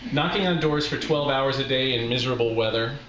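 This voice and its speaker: Middle-aged American male, wry live storyteller, forceful bright tone, roomy venue with faint noise. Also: mid-range voice, brisk. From Utah, USA. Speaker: reading series host, memoirist. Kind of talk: live reading of a personal essay, with spoken introduction. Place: small indoor bar room with brick walls. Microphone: handheld-style microphone on stand, close.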